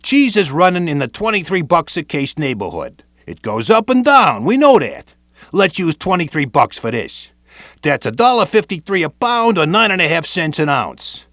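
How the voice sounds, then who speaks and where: Brief: New man, wiseguy training narrator, higher voice, dry close mic. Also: sing-song, normal speed, a bit bright, no echo. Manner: streetwise Brooklyn tough-guy patter. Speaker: training video presenter. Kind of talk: scripted instructional narration.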